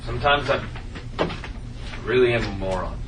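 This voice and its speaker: A man acting an excitable, sheepish teenage son in a comedy script table read. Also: mid-range voice, moderate pace, lightly acted. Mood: frustrated, sheepish. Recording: roomy, distant mic, very noisy room.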